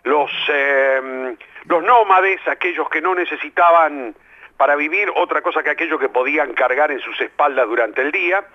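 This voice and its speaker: A man's voice: on the high side, moderate pace. An older man with a Rioplatense accent, a playwright and theatre director answering radio interview questions in a reflective way, with many fillers. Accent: Rioplatense Spanish. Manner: reflective, lively, filler-heavy. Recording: lo-fi radio broadcast.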